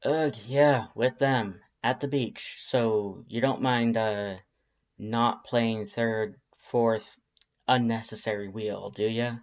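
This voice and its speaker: A man's voice: mid-range voice, fairly even intonation, slow, highs cut off. A man voicing a laid-back, sardonic male skeleton in an audio drama, with casual, dry, slangy speech. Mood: awkward, exasperated. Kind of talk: fan fiction audio drama acting.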